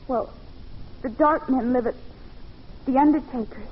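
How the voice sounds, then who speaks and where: An actress playing a timid, sheltered young maid held captive, naive and melodramatic in old-time radio style. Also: female voice, sits high, a little slow, husky. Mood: dread. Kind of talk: 1940s radio acting. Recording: muffled, fuzzy old-time radio recording.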